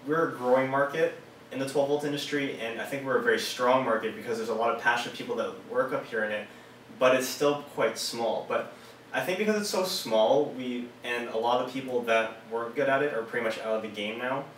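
A man's voice: mid-range voice, fairly quick, a bit bright, a little hiss or hum. A man in his thirties from Canada, casually explaining to camera from a small office with hand gestures. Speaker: car audio installer and vlogger. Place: small office with monitors, indoors. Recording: roomy sound from a distant mic.

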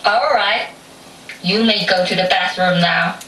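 A woman voicing a menacing tiger who poses as an old grandaunt in a puppet show, with a drawn-out delivery. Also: lowish voice, pushed and bright, slight background noise. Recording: echoey, distant stage recording with faint music.

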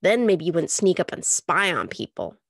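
A woman's voice: fairly low pitch, big pitch swings, moderate pace, gravelly, no echo, clean studio sound, exaggerated voice. A woman voicing a teasing, easygoing teenage older brother in an audiobook, speaking in an offhand, mocking manner.

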